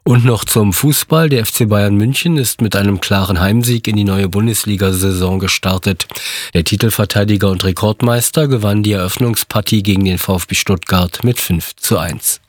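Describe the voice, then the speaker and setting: A man reading a radio news bulletin and weather forecast in a steady, formal way. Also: on the low side, normal speed, dry recording. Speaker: newsreader. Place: radio studio.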